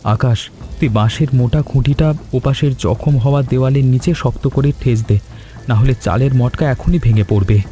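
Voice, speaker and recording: A man's voice: mid-range voice, moderate pace, warm tone, faint music behind, natural voice. A man playing a brave, dutiful young village son in a cartoon story, speaking earnestly and emphatically. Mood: urgent, determined. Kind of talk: animated story dialogue.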